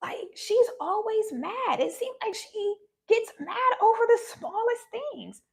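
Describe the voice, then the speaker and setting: A woman's voice: very high voice, big pitch swings, measured pace, vocal fry. A young woman talking passionately and earnestly to her viewers on a live stream. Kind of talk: personal talk to viewers.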